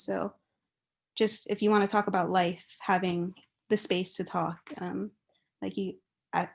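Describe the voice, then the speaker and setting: A woman's voice: mid-range voice, creaky. A young adult woman from Hawaii, giving an informal, chatty and giggly speech as a guest speaker. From Kauai, Hawaii.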